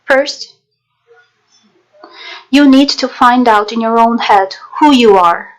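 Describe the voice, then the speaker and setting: Woman, fairly high voice, narrator and interpreter, scripted voice-over, formal, measured. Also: low-quality recording.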